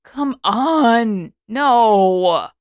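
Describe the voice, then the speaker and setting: A woman's voice: a little high, lively intonation, very slow, no echo, clean studio sound. A woman voicing a blunt, exasperated teenage boy in a dramatic story reading. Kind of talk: dramatic audiobook character reading.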